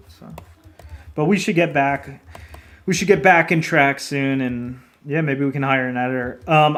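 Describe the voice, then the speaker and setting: A man who develops games, chatting loosely with his livestream viewers in a rambling way, laughing often and swearing casually. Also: medium pitch. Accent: non-native accent in Japanese. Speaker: indie game developer streaming. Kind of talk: casual livestream chat, answering chat. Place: lives in Japan as a foreigner.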